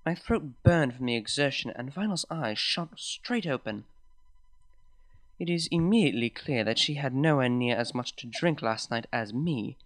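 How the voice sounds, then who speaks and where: A man reads a romantic fan story aloud in the first person, his pitch rising and falling in a lively, lilting way. The recording is dry and close, with no room sound around the voice. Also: normal speed, no room sound. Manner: expressive, lilting storyteller delivery. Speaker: fanfiction reader.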